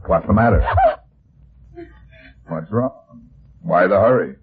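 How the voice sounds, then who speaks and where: A man's voice: on the low side, slow pace, breathy edge, exaggerated voice. A man playing a wry, world-weary fat private detective in an old-style radio drama, with dry, clipped tough talk. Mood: brusque. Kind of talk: 1940s-style radio detective acting and narration. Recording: dull, lo-fi old radio recording.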